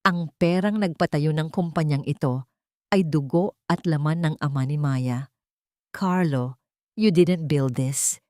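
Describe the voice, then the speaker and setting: A woman's voice: low voice, unhurried, darkish tone, no echo, clean studio sound. A woman voicing a stern, commanding woman in a dramatic audio story, cutting and accusatory, with a Filipino accent. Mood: accusing.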